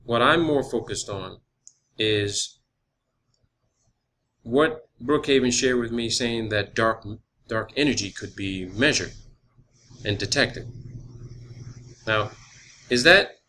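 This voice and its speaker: A man making a phone inquiry, explaining and asking questions in a halting, rambling way, on a phone call recording. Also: mid-range voice, sharp tone, slight background noise. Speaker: caller, student researcher.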